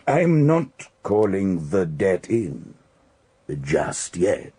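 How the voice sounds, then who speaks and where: An actor playing a wary, scornful gambler who is owed money in a radio drama, cool, guarded and taunting. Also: male voice, deep voice, sing-song, extremely slow, warm tone, slightly rough, full character voice. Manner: taunting, guarded, repeats questions back. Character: wary, scornful male gambling creditor, coolly unhurried.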